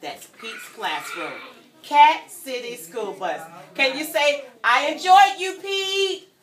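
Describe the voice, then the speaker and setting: A woman reading a story aloud to young children in an animated, teacherly way, prompting them to join in and breaking into sung refrains. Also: sits high, takes it slowly. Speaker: guest story-time reader for young children. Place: indoor room at a childcare centre. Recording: echoey room, distant mic, children around.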